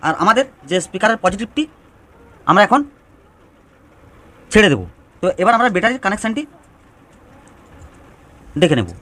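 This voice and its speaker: A man's voice: sits high, lively intonation, moderate pace, pauses often, bright, forceful tone. A man giving an informal step-by-step DIY electronics tutorial, explaining and restating phrases as he goes.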